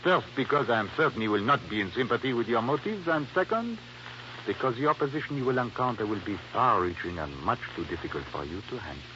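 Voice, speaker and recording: An actor playing a formal, condescending police inspector in an old radio drama. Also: male voice, medium pitch, lively intonation, quick pace, sharp tone. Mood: veiled warning. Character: suave, authoritative Haitian police inspector, veiled menace. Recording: muffled, faintly noisy old radio recording.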